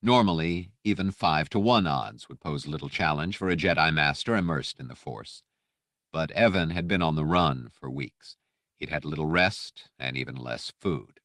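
Very deep-voiced male audiobook narrator. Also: moderate pace, a bit bright, dry recording, studio quality. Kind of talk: scripted dramatic audiobook narration.

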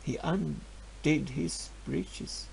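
An actor playing a grieving, stubborn peasant farmer, telling his story in a halting voice that swings from hushed to loud. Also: a little high, vocal fry, slight background noise, heavily acted, poor audio. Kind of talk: dramatic storytelling monologue.

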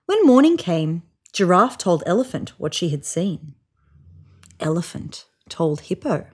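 A woman in her thirties reading a children's picture book aloud on a sofa, with voiced sound effects and hushed whispers. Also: on the low side, sing-song, a little slow, husky. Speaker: storybook reader. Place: indoors on a sofa.

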